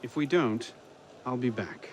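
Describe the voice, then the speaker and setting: A man's voice: mid-range voice, measured pace, background noise, lightly acted. A man playing an earnest young hero in a game cutscene, wry and tender. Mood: dry, wry. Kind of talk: video game cutscene acting.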